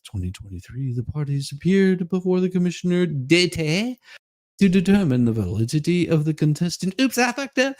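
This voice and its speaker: A man giving a comedic dramatic reading of a legal document, theatrical and folksy, with mocking asides. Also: sits high. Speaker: streamer performing a dramatic reading.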